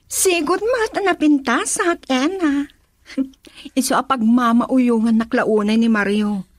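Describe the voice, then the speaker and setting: A woman's voice: sits high, a little slow, no room sound, clean studio sound. A woman with an Ilocano accent playing a proud, scornful young woman in a radio drama. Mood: teasing.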